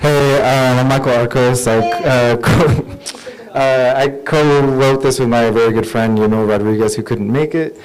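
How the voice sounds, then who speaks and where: A man's voice: medium pitch, fairly even intonation, measured pace, slight background noise, poor audio. A screenwriter introducing his script to a live audience, hesitant and friendly, with many fillers.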